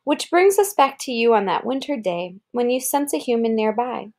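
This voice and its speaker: A woman reading an article aloud as if to a child, pausing to explain words and point things out. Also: a little high, expressive, darkish tone. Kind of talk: read-aloud narration with casual asides.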